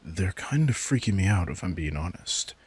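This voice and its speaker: A man's voice: sits low, animated, normal speed, husky, dry recording, heavily acted. A man playing a cocky, commanding vampire king in an audio roleplay, with a smug, casually menacing drawl. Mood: condescending explaining. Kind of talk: immersive roleplay audio drama monologue.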